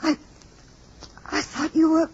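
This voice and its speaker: A woman's voice: high voice, monotone, slow pace, darkish tone, slight background noise. An actress playing a frightened, devoted young woman in a 1950s radio drama. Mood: shaken, anxious. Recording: dull vintage radio recording with music.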